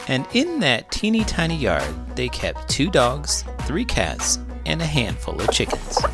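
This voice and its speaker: A man reading a children's picture book aloud with great expression. His voice swoops dramatically up and down throughout, as music plays steadily in the background. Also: gravelly. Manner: expressive, with wide swings in pitch and volume. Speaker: children's book reader and teacher. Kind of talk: animated storybook read-aloud.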